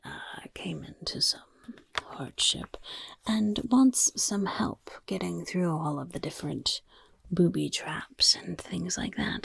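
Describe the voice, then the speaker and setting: A woman voicing a sly, gold-loving female goblin rogue in a whispered ASMR fantasy roleplay, breathy and coy, with rambling asides. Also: mid-range voice, dry recording, heavily acted. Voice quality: breathy, husky, crackly whisper. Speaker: roleplay quest-giver for a rogues' league.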